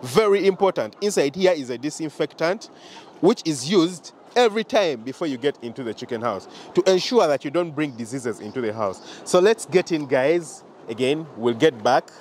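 A man's voice: a little high, animated, moderate pace, darkish tone, a little hiss or hum. A young man with a Ugandan accent gives a walking tour of his poultry farm outdoors, explaining things to viewers and repeating key points. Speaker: farm owner giving a tour. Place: outdoors at a poultry farm and inside a chicken house. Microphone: handheld camera close to the face.

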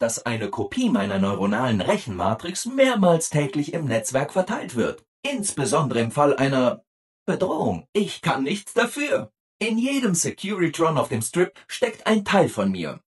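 A man's voice: fairly high pitch, slightly rough, exaggerated voice. A man playing a relentlessly cheerful, obliging robot assistant in a video game, with an overeager, singsong delivery. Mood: apologetically cheery. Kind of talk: scripted video game dialogue.

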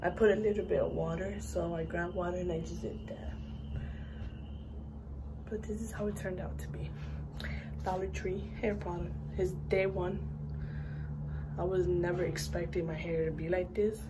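A young woman with a raspy voice, just up from sleep, chatting casually through a hair product review in a small bathroom. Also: fairly low pitch, steady tone, normal speed, long pauses, very noisy. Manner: chatty, rambling with fillers. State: just woke up. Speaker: beauty vlogger. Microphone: phone camera at arm's length.

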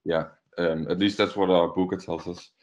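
Very deep-voiced young Danish man. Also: little pitch movement, moderate pace. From Denmark. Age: young adult. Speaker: musician being interviewed. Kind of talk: casual interview chat. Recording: dull, lo-fi video call.

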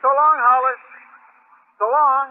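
A man's voice: very high voice, level tone, measured pace, long reverb tail. An actor playing an awestruck spaceman being swept away, in a 1950s radio drama. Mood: awed, wondering. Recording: tinny, faintly noisy 1950s radio recording.

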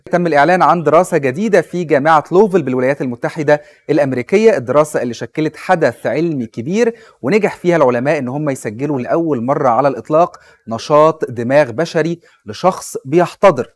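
A man in his thirties with an Egyptian accent, presenting from a newsroom studio and interviewing a guest. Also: on the high side. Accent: Egyptian Arabic. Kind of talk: scripted news introduction.

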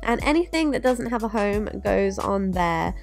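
A woman's voice: mid-range voice, lively intonation, moderate pace, vocal fry, soft background music. A young woman, giving upbeat, instructive narration while cleaning her bedroom. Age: young adult. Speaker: lifestyle vlogger. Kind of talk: cleaning tutorial voice-over and to-camera vlog. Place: bright bedroom, indoors.

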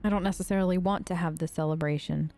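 A woman's voice: on the low side, fairly even intonation, quick pace, mellow, soft background music. A woman hosting a game let's play, improvising in character in a casual, polite and hesitant way. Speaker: gaming video host.

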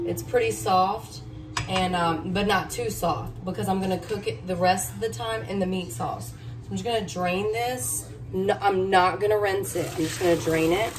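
A woman, chatting viewers through a cooking tutorial step by step. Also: medium pitch. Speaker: cooking vlogger. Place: hotel room. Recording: distant mic with clear room noise.

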